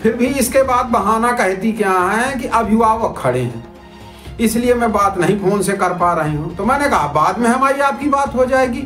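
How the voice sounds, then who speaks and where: A man's voice: sits high, fairly bright tone. A man giving informal, argumentative commentary to viewers, repeating himself and posing rhetorical questions. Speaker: citizen journalist presenting a video report. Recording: roomy echo with music underneath.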